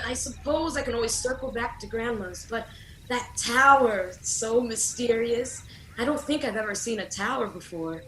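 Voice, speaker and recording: A woman's voice: fairly high pitch, sharp tone. A woman playing a plucky, blunt, curious young fairy-tale girl in an irreverent radio play, with casual modern slang. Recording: roomy echo with noisy ambience.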